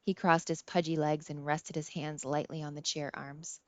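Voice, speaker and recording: Low-pitched woman narrating a novel. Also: little pitch movement, normal speed, no echo. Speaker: audiobook narrator. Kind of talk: scripted first-person novel narration. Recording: slightly dull, dry close recording.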